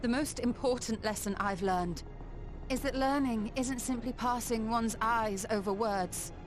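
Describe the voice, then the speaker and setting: A woman's voice: a little high, measured pace, music in the background, close to an everyday voice. A woman playing a proud, wise sorceress and scholar in a fantasy video game, speaking in a composed, formal, archaic manner. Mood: resolute, impassioned. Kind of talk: scripted video game drama acting.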